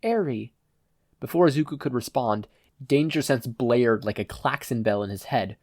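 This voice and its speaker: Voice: mid-range voice, normal speed, a little mellow, dry recording, clean studio sound. A narrator reading fan fiction as a scripted audiobook.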